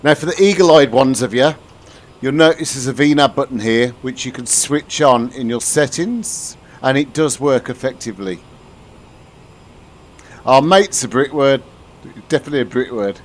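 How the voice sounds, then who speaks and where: A man's voice: medium pitch, normal speed. Chatty British man streaming a flight sim. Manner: chatty, answers viewers, frequent restarts. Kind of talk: live-stream commentary to chat.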